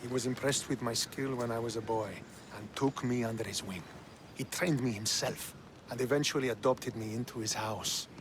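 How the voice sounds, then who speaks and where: A man's voice: a little high, noisy background. A man playing a proud, disciplined Spartan officer in a video game, formal and commanding. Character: proud, disciplined young Spartan officer devoted to his adoptive father. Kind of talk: video game cutscene acting.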